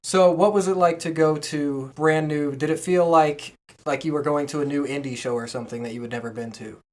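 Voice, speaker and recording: Female podcast host, low-pitched voice, casual interviewing. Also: steady tone, fairly quick, a little mellow.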